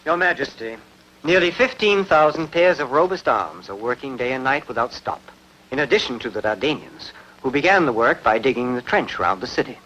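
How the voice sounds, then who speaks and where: A man's voice: medium pitch, expressive, fairly quick, sharp tone, a little hiss or hum, rough recording. A man playing a deferential royal architect in a film dub, speaking formally. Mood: dutiful. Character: deferential male royal architect reporting to queen.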